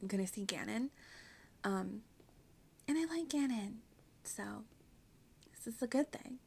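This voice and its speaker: Woman streamer with a creaky, fry-laced voice. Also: fairly high pitch, expressive, unhurried, darkish tone. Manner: giggly, self-deprecating, rambling. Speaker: video game streamer. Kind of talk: casual livestream chat over gameplay.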